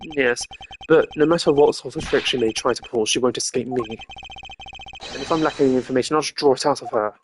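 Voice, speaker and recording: A streamer voicing a composed, stern young male prosecutor from a video game, measured, formal and accusatory. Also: medium pitch, a little hiss or hum, close to an everyday voice. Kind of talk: video game character voice acting.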